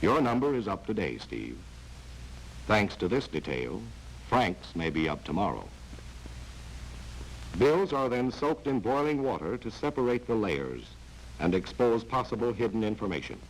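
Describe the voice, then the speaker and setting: A man's voice: on the low side, sing-song, moderate pace, low-quality recording. A man delivering stern, sardonic scripted narration for a 1940s training film, addressing the listener directly. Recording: hissy old film soundtrack.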